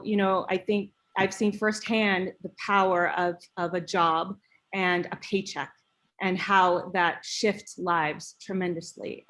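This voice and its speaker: A woman speaking steadily and heartfelt as she introduces herself on a webinar panel over video call. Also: mid-range voice. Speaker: panelist, nonprofit co-founder.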